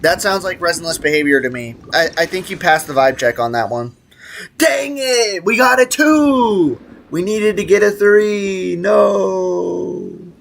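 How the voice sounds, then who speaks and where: A man's voice: sits high, animated, a little slow, vocal fry, a bit bright. Playful male game streamer, noisy background. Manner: playful, slangy, prone to exaggerated outbursts. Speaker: live streamer hosting a gaming stream. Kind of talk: casual gaming livestream chat, reading viewer comments aloud.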